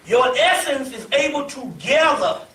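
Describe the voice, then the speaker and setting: Very high-voiced man in an echoey room. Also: animated, unhurried, bright, forceful tone, far from the mic.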